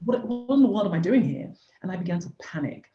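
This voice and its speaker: A woman's voice: on the low side, animated, quick pace, over music. A woman in her forties from Nigeria, a business founder, candidly telling her life story as a podcast guest. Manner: earnest, candid storyteller with repeated "literally". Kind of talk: personal story in a podcast interview. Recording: echoey room, dull lo-fi sound.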